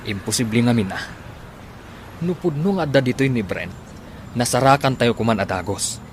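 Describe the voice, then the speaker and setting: An older man acting a weary, regretful old husband in a radio drama, with a husky voice. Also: mid-range voice, lively intonation, moderate pace, a little hiss or hum, close to an everyday voice. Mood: urgent.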